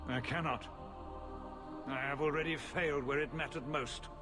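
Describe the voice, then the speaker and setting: An actor playing a grieving middle-aged city ruler who speaks in a slow, broken way. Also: male voice, on the high side, steady tone, music in the background, close to an everyday voice. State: grief-stricken.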